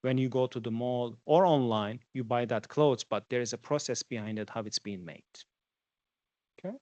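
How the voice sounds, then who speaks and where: A man's voice: medium pitch, normal speed, a little mellow, dry recording, dull audio. A man in his forties from Turkey, a native Turkish speaker, giving a conversational guest lecture to students and asking them questions. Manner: conversational, asks the audience questions.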